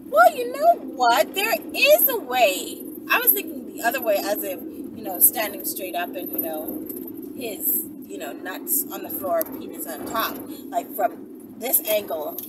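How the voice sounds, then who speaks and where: A young adult woman chatting casually to her viewers like a vlogger, animated and conversational, often checking in with short tags. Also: mid-range voice, unhurried. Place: inside a car in daylight. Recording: distant mic, noisy car interior.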